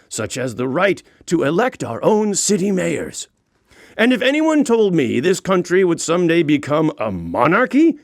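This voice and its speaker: A man's voice: on the high side, no echo, clean studio sound. A man voicing a proud, indignant sixteenth-century Dutch prince in a comic audiobook, grand and mock-solemn in delivery. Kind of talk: comic audiobook monologue in character.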